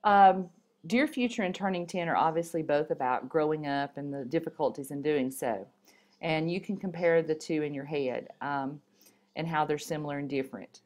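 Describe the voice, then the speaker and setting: A woman reading stories and poems aloud in an expressive storybook manner, with an occasional stumble. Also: low-pitched, normal speed.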